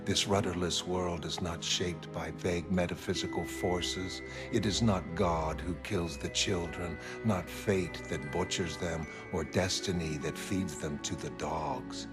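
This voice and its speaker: A man's voice: sits low, fairly even intonation, over loud music. A man playing a cold, nihilistic masked vigilante in a motion comic, speaking in terse, bleak monologue. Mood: bleak, menacing. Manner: slow, terse, clipped sentences.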